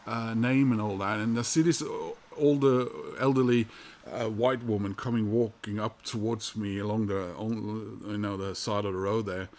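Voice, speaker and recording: A man from Norway with a Norwegian accent, telling a travel story in a rambling, halting way with many fillers. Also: lowish voice, fairly even intonation, a little mellow. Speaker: vinyl collector vlogger. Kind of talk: casual storytelling monologue.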